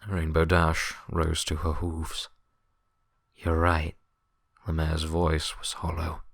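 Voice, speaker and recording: A man's voice: rumbling low, monotone, measured pace, creaky, dry recording, clean studio sound. A man reading scripted fiction as a dramatic story narrator.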